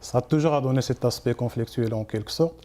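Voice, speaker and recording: Mellow-voiced man, measured and thoughtful. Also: medium pitch. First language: Arabic. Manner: measured, repeats "exactement" often. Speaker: author guest. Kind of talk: television interview.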